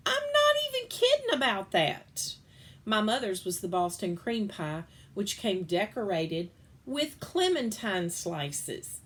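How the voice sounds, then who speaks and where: A woman reads a children's picture book aloud in an animated, playful way. Her voice sits mid-range and jumps up high for funny moments. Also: takes it slowly. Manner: expressive, playful storytelling with big pitch swings. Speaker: reader.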